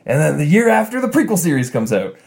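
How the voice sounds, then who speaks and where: A man's voice: fairly high pitch, sing-song, fast, vocal fry, a little mellow. A man from Canada co-hosting a podcast, chatting casually and tired from little sleep, acting out quotes in silly voices. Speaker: podcast co-host. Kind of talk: casual chat.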